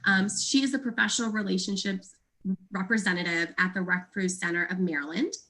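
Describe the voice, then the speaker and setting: A woman hosts a webinar, greeting attendees with enthusiasm before introducing the speakers. Her voice sits at a middle pitch and has a bright edge. She talks over a video call. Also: steady tone, fairly quick. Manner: warm, enthusiastic welcome. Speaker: event moderator.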